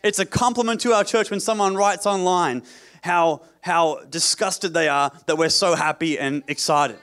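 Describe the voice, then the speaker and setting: A male pastor delivers a sermon in a fairly high voice. He repeats his phrases for emphasis and keeps asking the room for an amen. Light, joking asides break up his preaching. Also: moderate pace, fairly bright tone, clear, resonant tone. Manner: repetitive, rhetorical, calls for amen; jokey asides.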